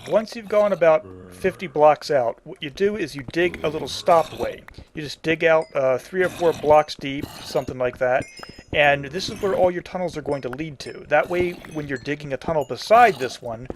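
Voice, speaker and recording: A man's voice: fairly high pitch, normal speed, slight background noise. A man hosting a casual video game tutorial, explaining each step in plain, folksy wording. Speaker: tutorial host.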